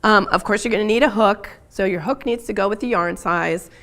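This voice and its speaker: A middle-aged woman, hoarse and raspy after being ill, teaching a live crochet tutorial in a chatty way with frequent ums. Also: mid-range voice, fairly quick, fairly bright tone. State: hoarse from illness. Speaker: crochet instructor.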